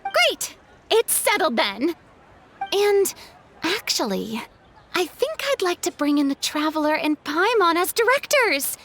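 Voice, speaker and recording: Excitable young female director, game character, theatrical, effusive, extremely animated intonation, unhurried. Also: very high-pitched, a little hiss or hum, heavily acted. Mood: pleased, eager. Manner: dramatic, effusive, grandiose. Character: theatrical, flamboyant young woman film director, excitable gourmet. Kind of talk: video game voice acting.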